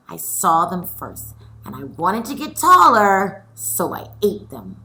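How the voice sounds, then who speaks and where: Lively, bright-voiced woman teacher. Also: medium pitch, low-quality recording. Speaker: teacher reading aloud. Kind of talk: expressive children's storybook read-aloud and discussion.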